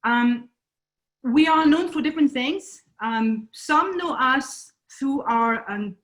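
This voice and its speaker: French-accented woman, high voice, many fillers. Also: slow, a bit bright, low-quality recording. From France. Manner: hesitant, many fillers and restarts. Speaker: nonprofit founder giving a talk. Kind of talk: online conference presentation. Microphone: video call.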